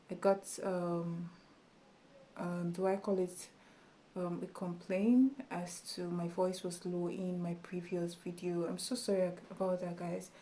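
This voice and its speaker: A woman with a small, soft voice speaks slowly to her camera, chatty and encouraging. Her tone is mellow and warm, and her casual delivery is sprinkled with fillers. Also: fairly low pitch. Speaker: YouTube tutorial creator. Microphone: camera in front of her.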